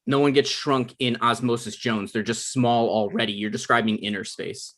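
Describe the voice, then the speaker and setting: Exasperated, sarcastic young writer type, read by a man, deadpan, argumentative, medium pitch, comedy. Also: normal speed, close to an everyday voice. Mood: deadpan correcting. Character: Ryan, sarcastic, exasperated adult son and aspiring TV writer. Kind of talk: comedy script table read.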